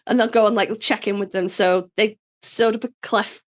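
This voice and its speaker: A woman's voice: medium pitch, normal speed. Chatty sick woman, nasal. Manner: chatty, swearing, animated storytelling. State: sick, slightly hoarse. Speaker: podcast co-host. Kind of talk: casual chat.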